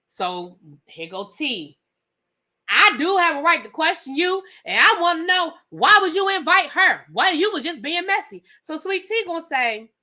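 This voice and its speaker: A woman hosting a live TV recap, telling the story casually and acting out the quotes, with some swearing. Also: sits high. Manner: animated storytelling, acts out quotes; profane, conversational. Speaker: TV show reviewer.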